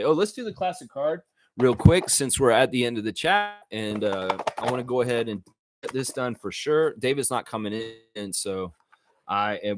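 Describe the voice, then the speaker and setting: A man hosting a live sports fan chat, talking informally and conversationally as he thinks aloud to his viewers. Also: medium pitch.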